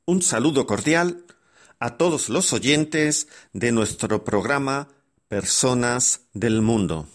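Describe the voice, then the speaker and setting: Slow-paced male radio host. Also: medium pitch, lively intonation. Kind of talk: scripted radio introduction.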